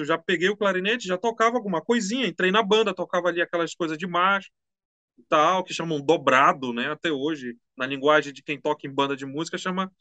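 Chatty high-voiced man. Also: no echo. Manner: relaxed, slangy, chatty. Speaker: musician guest. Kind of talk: casual interview storytelling.

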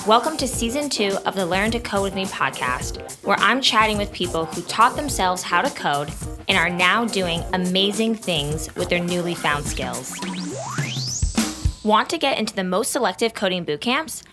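A woman's voice: medium pitch, animated, normal speed, over music. A woman hosting a podcast, reading her intro and ads, then chatting with a guest enthusiastically. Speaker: podcast host. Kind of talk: casual interview and scripted intro.

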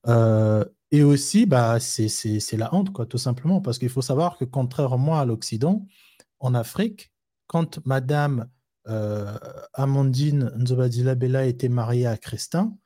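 A man's voice: medium pitch, measured pace, dark tone, no echo, clean studio sound. A man co-hosting a live discussion, talking loosely with many restarts and everyday examples. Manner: rambling, frequent fillers and restarts, explains with everyday examples. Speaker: co-host of a live discussion show.

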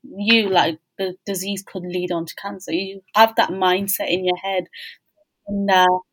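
A woman's voice: mid-range voice, level tone, a little slow, a bit bright, low-quality recording. A woman hosting a casual podcast interview, responding in a sympathetic, informal way. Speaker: podcast host.

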